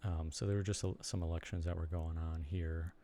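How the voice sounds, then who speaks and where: Young man, Chicago accent, low mellow voice, rambling live-stream chat. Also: little pitch movement, slight background noise. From Chicago area, United States. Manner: rambling, halting, lots of ums and restarts. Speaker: streamer and blogger.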